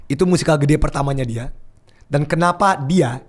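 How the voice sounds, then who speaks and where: A young man chatting casually as a podcast guest, telling an animated story and mixing English phrases into his speech. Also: a little high, normal speed. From Indonesia.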